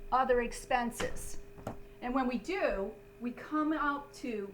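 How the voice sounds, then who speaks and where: A woman's voice: a little high, fairly bright tone. A woman instructor giving a step-by-step classroom lesson in an instructive way, often checking in with short tags. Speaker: accounting instructor. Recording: roomy, distant mic, faint hum.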